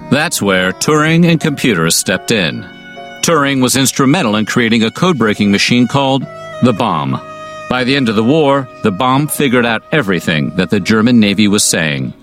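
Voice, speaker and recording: A man of average pitch narrates a history video from a script. His wording is casual and wry, and faint music plays underneath. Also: expressive, moderate pace, a bit bright.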